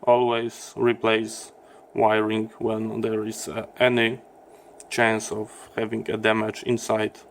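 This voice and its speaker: Slow-talking man explaining a repair. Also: on the low side, monotone, slight background noise. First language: not English. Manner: halting, explanatory, step by step. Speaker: electronics repair presenter. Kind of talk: hands-on repair demonstration.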